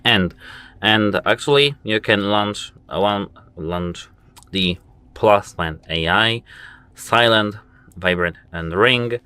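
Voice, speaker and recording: A man with a very low voice hosts a casual how-to video. He walks through each setting step by step and keeps pointing things out with "as you can see". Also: bright, forceful tone, smooth, no echo. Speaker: tech tutorial host. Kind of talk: casual phone how-to walkthrough.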